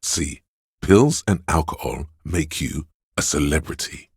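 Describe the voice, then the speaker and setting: A man voicing a sleazy, hedonistic inner voice of addiction in a video game, with a slow, coaxing, streetwise manner and a deep gravelly, husky sound. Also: animated, dry recording, clean studio sound, heavily acted. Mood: seductive, hungry. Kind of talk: video game character voice acting.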